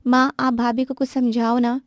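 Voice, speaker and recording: A woman's voice: fairly high pitch, little pitch movement, moderate pace, dry recording, clean studio sound, voice pushed up, natural voice. A woman voicing a young sister-in-law who complains and pleads. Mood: complaining.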